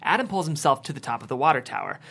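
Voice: medium pitch, big pitch swings, rapid-fire, vocal fry, fairly bright tone. A narrator reading the stage directions of a screenplay at a table read.

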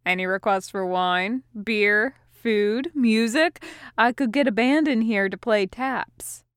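A woman's voice: fairly high pitch, takes it slowly, a bit bright, clean tone, no echo. Lady playing a wry easygoing guy sidekick, matter of fact, fantasy story, clean dry audio. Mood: wry, businesslike.

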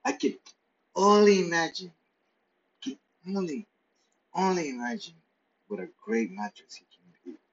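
A man's voice: high voice, sing-song, measured pace, gravelly, long pauses, muffled recording. A man narrating a playful, rambling story in the first person from his pet's point of view. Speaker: pet owner narrating.

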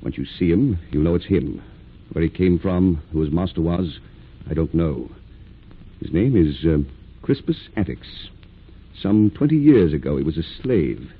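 An actor playing a stern, impatient British army captain, curt and scornful, in old radio drama style. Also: male voice, deep voice, animated, measured pace, breathy edge, heavily acted. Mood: grim. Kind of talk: 1940s radio acting. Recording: muffled vintage radio drama recording.

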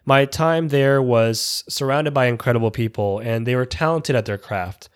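A man in his thirties speaks at an ordinary, middle pitch. His prepared talk is earnest and reflective. Now and then he stops to restart a phrase. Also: moderate pace, clean tone. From Canada. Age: 30s. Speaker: educator giving a personal talk. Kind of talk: prepared personal speech.

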